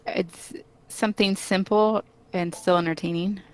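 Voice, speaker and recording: A woman's voice: medium pitch, steady tone, a little slow, a crowd in the background, rough recording. A woman in casual conversation, giving brief, reserved, agreeable answers. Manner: reserved, mostly short agreeing replies. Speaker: collaborator on visual novel development.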